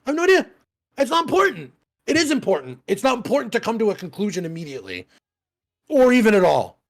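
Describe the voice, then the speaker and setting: A man in his thirties talks through a casual podcast chat, rambling, swearing freely and laughing at himself. His voice sits on the high side and has a gravelly edge. His pitch swings widely from line to line. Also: huge pitch swings, fast. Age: 30s. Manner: rambling, profane, self-mocking, laughs often. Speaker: podcast co-host.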